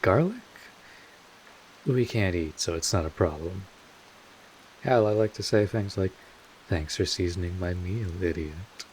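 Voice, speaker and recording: A man playing a wry, laid-back vampire mentor in an intimate audio drama, casual and sardonic, with drawn-out pauses, protective and a little world-weary. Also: sits low, a little mellow, a little hiss or hum, rough recording. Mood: amused, teasing.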